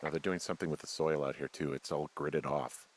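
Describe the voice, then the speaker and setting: An American actor playing a wry, uneasy fire lookout in a video game, talking casually with some swearing. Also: male voice, extremely low pitch, fairly even intonation, a little fast, a little hiss or hum. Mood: wary, puzzled. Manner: casual, profane, conversational. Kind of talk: naturalistic video game dialogue.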